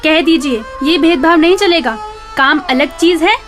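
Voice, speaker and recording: Woman acting high-pitched nagging wife. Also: moderate pace, natural voice. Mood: scolding. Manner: scolding, complaining, theatrical. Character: nagging, sharp-tongued village wife of a poor blind labourer. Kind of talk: Hindi radio drama acting. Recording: music bed behind the voice.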